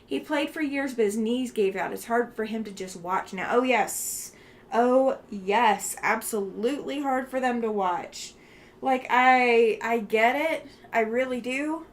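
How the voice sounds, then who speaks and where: A woman's voice: a little high, unhurried. A tired woman with a Southern US accent chatting casually while she works on a quilt at home, thinking aloud with fillers and frequent laughs. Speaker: quilting livestream host.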